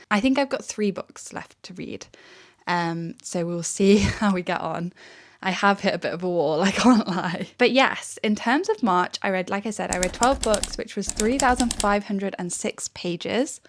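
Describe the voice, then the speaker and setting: A young woman, chatting casually through her monthly book reviews, a little under the weather and sometimes slightly croaky, with frequent "um"s. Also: mid-range voice. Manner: chatty, frequent "um" and light laughs. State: recovering from illness, may sound croaky. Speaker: book vlogger.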